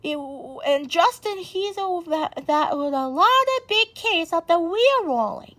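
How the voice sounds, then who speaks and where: Very high-pitched woman reading haltingly. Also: animated, a bit bright. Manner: halting, stumbling reading with garbled words. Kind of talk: storybook read-along, reading aloud.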